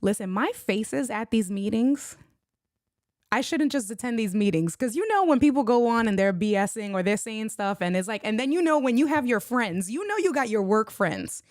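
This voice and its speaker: A woman's voice: fairly high pitch, moderate pace, dry recording, studio quality. A woman with a New York accent hosting a livestream, talking bluntly and animatedly, a little sick and coughing. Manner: animated, blunt, slips into Spanish. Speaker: livestream host. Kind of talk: casual chat.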